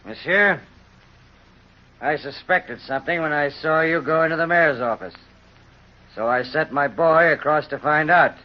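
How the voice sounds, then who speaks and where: An actor playing a cold, suspicious, unyielding innkeeper, curt and formal, in a 1930s radio drama. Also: male voice, a little high, unhurried, pauses often, close to an everyday voice. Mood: stern, accusing. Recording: dull lo-fi old radio recording.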